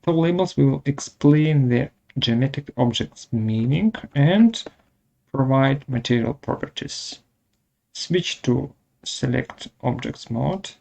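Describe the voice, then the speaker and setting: Creaky-voiced man giving slow software instructions. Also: medium pitch, animated, rough recording. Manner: halting, short instructional phrases. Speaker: webinar presenter, software instructor. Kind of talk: step-by-step software tutorial narration.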